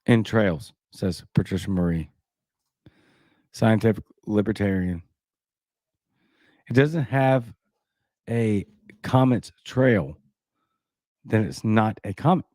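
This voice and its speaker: A man's voice: fairly low pitch, slow, long pauses, darkish tone, dry recording. A man with a Cajun accent hosting a casual livestream, reading news and viewer comments with skeptical, rambling asides. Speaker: livestream host.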